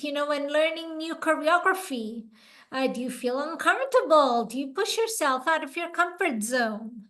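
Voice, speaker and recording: A woman co-hosting a podcast, giving calm, encouraging self-care advice. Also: high-pitched. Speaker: podcast co-host. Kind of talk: casual podcast chat.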